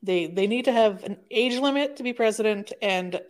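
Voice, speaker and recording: Brief: woman co-host, fairly high voice, blunt, swears a lot, gets excited easily. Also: normal speed. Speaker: podcast co-host. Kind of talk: casual chat.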